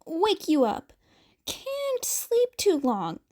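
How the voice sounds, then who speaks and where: A woman's voice: very high voice, wildly swooping pitch, drawn-out words, darkish tone, pitched above the performer's own voice, exaggerated voice. A woman voicing a playful, fussing grown man with a sing-song, chatty way of asking things, in a story reading. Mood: fussing, cheerful. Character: loud, chirpy, fussing adult man, playful caretaker.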